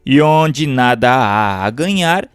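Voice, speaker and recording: A man reading a book aloud in a formal, measured, rhetorical manner, like scripted audiobook narration. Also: medium pitch, lively intonation, clear, resonant tone, no room sound, studio quality, soft background music.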